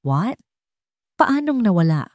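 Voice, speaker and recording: A woman's voice: medium pitch, sing-song, slow, a little mellow, no room sound, studio quality, voice pushed up. A woman with a Filipino accent voicing a cold, two-faced, commanding rich wife in an audio love drama. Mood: feigned alarm. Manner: commanding, code-switching into English.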